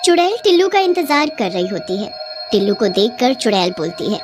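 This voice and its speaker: A woman's voice: fairly high pitch, sing-song, over music. A woman storyteller delivering scripted narration for a tale.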